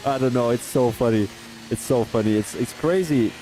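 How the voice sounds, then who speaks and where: A man's voice: medium pitch, normal speed, a little hiss or hum, low-quality recording. Man commentating a race. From Belgium. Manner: rambling chatty asides, rising to excited calls on overtakes. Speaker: sim racing league commentator.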